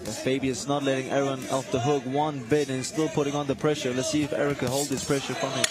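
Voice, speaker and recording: Male boxer from Denmark as guest analyst, rapid and eager delivery. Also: mid-range voice, flat delivery. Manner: eager, restarts phrases, chatty. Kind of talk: live fight commentary.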